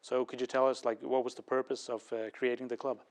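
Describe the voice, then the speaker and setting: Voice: medium pitch, flat delivery, fast talker, a little mellow. A host of a college TV talk show, giving short prompts and backchannels during an interview. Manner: brief backchannels, friendly prompts. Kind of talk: TV interview hosting. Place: college TV studio.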